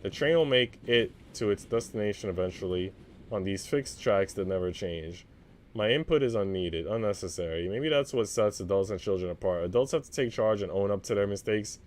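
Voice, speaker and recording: A man's voice: on the low side, animated, moderate pace. A man narrating a visual novel aloud as a streamer, moving between scripted prose and casual reaction.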